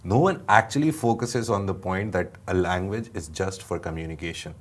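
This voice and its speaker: A man's voice: low-pitched, normal speed. A man in his thirties with a Pakistani accent, talking earnestly and reflectively as co-host of a studio chat. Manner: reflective, earnest, with frequent fillers.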